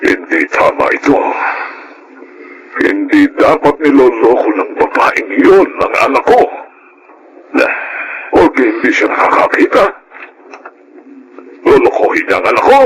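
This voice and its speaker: Casting: middle-aged Filipino dad, protective and stern, animated delivery with a creaky edge, radio drama. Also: male voice, mid-range voice, unhurried, long pauses, a little hiss or hum, heavily acted, low-quality recording. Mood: indignant. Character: worried, protective middle-aged father and head of household.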